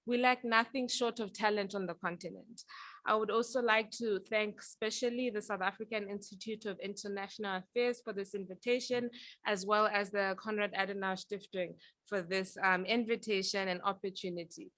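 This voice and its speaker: A woman's voice: medium pitch, steady tone, normal speed, fairly bright tone, no echo. A young woman from Zambia speaking earnestly at a panel about her own path, with some filler ums. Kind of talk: prepared personal talk at a panel.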